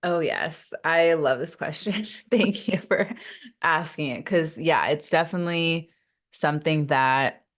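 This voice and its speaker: A woman's voice: deep voice, a little slow. A woman who stutters, guest on a podcast, talking openly and reflectively with many fillers and repeated sounds. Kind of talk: casual interview talk.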